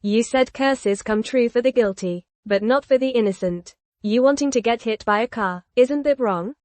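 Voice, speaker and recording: Woman as judgmental sister-in-law, higher voice. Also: moderate pace, darkish tone, no echo, lightly acted. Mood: reproachful. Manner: scolding, lecturing. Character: sharp-tongued, judgmental adult sister-in-law. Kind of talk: dubbed melodramatic audio drama.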